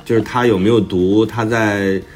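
A man's voice: fairly low pitch, steady tone, moderate pace, a little mellow, faint music behind. A man speaking as a guest author in a casual conversation, reflective and self-deprecating. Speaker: author guest discussing a new book.

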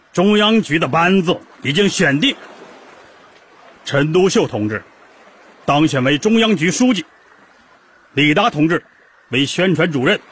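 A man's voice: sits high, fairly bright tone, a little hiss or hum. A man acting in a Chinese historical radio drama as an earnest, formal chairman of a secret revolutionary meeting.

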